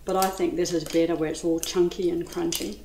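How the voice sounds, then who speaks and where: A woman's voice: on the low side, flat delivery, a little fast, vocal fry, dark tone. A middle-aged woman with an Australian accent demonstrating a dessert recipe in a home kitchen, chatty and enthusiastic, addressing viewers as ladies. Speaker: cooking demonstrator. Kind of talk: cooking demonstration. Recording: echoey kitchen with light noise.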